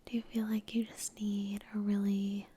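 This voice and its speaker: A woman whispering gently and unhurriedly in a breathy voice, close to the microphone, guiding an ASMR meditation with long pauses. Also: mid-range voice, monotone. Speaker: ASMR creator and meditation guide. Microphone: close microphone in front of the face.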